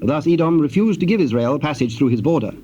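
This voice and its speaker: A man narrating scripture in a formal, solemn and measured manner, as part of a dramatized reading. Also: a little high, expressive, mellow. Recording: dull, noisy old cassette tape.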